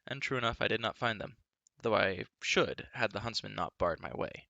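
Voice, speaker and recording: A proud young prince sneers in a low, hoarse voice, as a man reading a storybook plays him. Every line drips cold contempt. The tone is gravelly yet bright, close and dry. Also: moderate pace, no echo, muffled recording. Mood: bitter.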